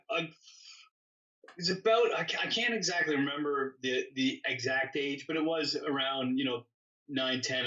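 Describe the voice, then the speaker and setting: Bright-voiced man, rambling, slightly distant mic. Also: mid-range voice. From born in England, grew up in Canada. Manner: rambling, self-correcting, self-deprecating. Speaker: interview guest, custom motorcycle builder. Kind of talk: casual conversational storytelling. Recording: dull, distant microphone sound.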